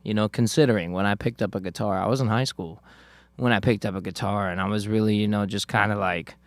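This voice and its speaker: A man's voice: lowish voice, fairly even intonation, fast talker, no room sound. A young man from South Florida, a guitarist guest on a podcast, telling stories in a loose, rambling, profane way. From South Florida, Cuban family. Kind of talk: casual podcast chat.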